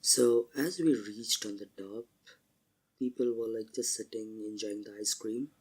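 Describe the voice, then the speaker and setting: A man with a sore throat and a husky voice, chatting casually as a podcast co-host and slowly searching for his words. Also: on the low side, darkish tone. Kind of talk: casual chat.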